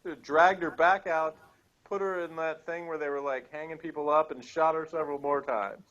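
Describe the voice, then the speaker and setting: A man's voice: a little high, steady tone, normal speed, a bit bright. Sarcastic man on a dull lo-fi recording. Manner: sarcastic, mock-exasperated. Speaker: co-host reviewing TV episodes. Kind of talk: casual chat, episode review.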